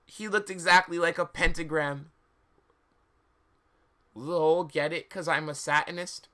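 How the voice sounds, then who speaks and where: A man's voice: fairly high pitch, fairly even intonation, measured pace, pushed and bright. A man hosting a livestream, switching between casual chat and a theatrical, dramatic reading of fan fiction. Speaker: streamer and host reading fan fiction aloud.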